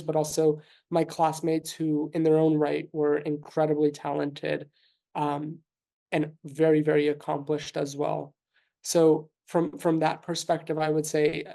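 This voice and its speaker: Gravelly, mellow-voiced man in his thirties. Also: on the high side. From New York City. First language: Russian. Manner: thoughtful, measured, frequent fillers and restarts. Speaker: physician guest. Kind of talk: conversational interview answers.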